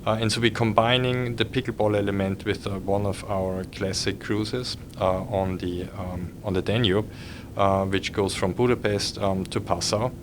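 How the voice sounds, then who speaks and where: Austrian guy, cruise exec, lowish monotone voice, lots of uhs, humming noise behind. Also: normal speed. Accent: Austrian German. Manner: hesitant, many fillers and restarts. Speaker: cruise line executive. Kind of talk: podcast conversation.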